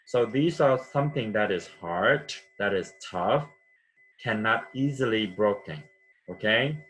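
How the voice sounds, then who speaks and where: A man's voice: mid-range voice, a bit bright, rough recording. A man from Vietnam teaching vocabulary patiently, repeating words and checking with "okay?". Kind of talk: classroom vocabulary lesson.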